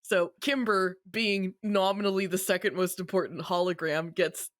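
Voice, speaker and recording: A podcast host with a mid-pitched voice, recorded close and dry. The delivery is a casual, wry recap that mixes narration with offhand commentary and some swearing. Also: fairly even intonation, moderate pace, fairly bright tone, no room sound.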